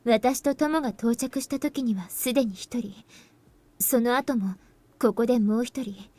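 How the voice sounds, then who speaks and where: A serious teenage girl from a visual novel voiced by a woman. Her voice is high and she reports things in a composed, factual manner with grave restraint. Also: brisk, a little mellow, natural voice. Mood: somber, factual. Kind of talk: Japanese visual novel voice acting.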